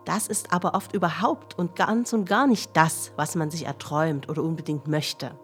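A woman's voice: deep voice, moderate pace, soft background music. A woman reading a scripted explanation for children as a narrator.

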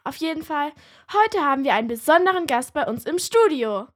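A woman's voice: high-pitched, sing-song, a little fast. A woman playing an eager, easily embarrassed young host of a makeshift show in a children's radio play. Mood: eager, announcing.

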